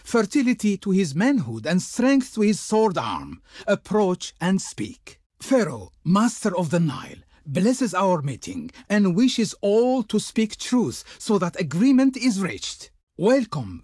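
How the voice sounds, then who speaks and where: A grand Egyptian court diplomat for a strategy game. His voice is fairly high and a little husky, with hugely theatrical swoops in pitch. He declaims with pompous ceremony, haughty toward foreigners and fawning toward his Pharaoh. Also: darkish tone, dry recording, clean studio sound, full character voice. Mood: ceremonious, welcoming.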